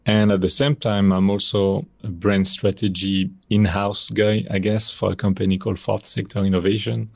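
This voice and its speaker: A man's voice: on the low side, normal speed, gravelly, few pauses, dry recording, studio quality. A man in his thirties with a French accent, a podcast guest talking casually about his work, with many fillers. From France. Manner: relaxed, rambling, many fillers. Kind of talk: casual conversational interview.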